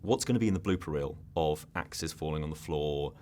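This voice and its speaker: A man's voice: extremely low pitch, fairly quick, a little mellow, a little hiss or hum. A man interviewing film guests in a casual, enthusiastic press junket style.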